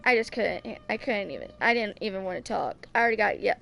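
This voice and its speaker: A woman's voice: medium pitch, lively intonation, quick pace, gravelly, a bit bright. A woman in her twenties chatting casually as a streamer, rambling informally and repeating phrases for emphasis. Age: 20s. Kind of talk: casual livestream chat.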